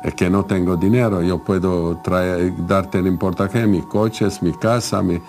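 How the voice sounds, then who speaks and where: An interviewed man from Lebanon speaks in a low voice. His Spanish is halting and non-native as he recounts events, with faint music behind. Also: steady tone, a little mellow.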